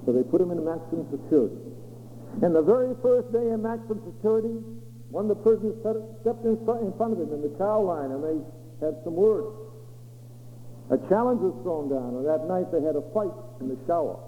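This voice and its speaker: A man's voice: high-pitched, expressive, a little fast. A man with a Maine accent preaching, telling testimonies with dramatic swoops and acted-out quotes. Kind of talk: sermon testimony storytelling. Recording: echoey hall over a tinny lo-fi line with faint hum.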